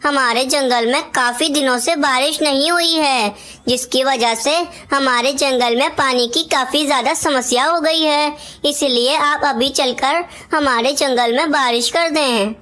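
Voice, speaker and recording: A woman voicing a boastful, scheming male crow in an animated children's story. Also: sits high, a little slow, voice pushed up. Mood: pleading.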